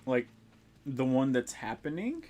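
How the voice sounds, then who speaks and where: A man's voice: medium pitch, measured pace, slight background noise. Blunt, swearing male streamer. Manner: profane, blunt, excitable. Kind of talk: casual game stream chat.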